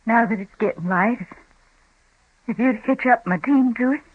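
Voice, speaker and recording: An actress playing a weary older frontier mother on a vintage radio western, speaking softly in a plain rural dialect. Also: fairly high pitch, normal speed, breathy edge, no echo. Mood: grieving. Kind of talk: 1950s radio western acting. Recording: tinny, narrow old radio broadcast.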